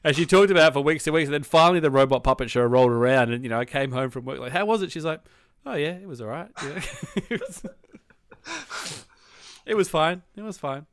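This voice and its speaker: A man's voice: fairly high pitch, big pitch swings, a little fast, fairly bright tone, dry recording. An Australian man, around his thirties or forties, co-hosting a podcast in casual chat, telling things in a wry, rambling way. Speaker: podcast co-host.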